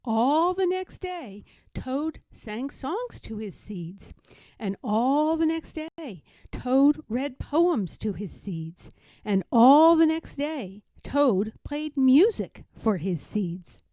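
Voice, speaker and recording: A woman narrating a children's storybook aloud as an expressive storyteller. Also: high-pitched, slow, no room sound.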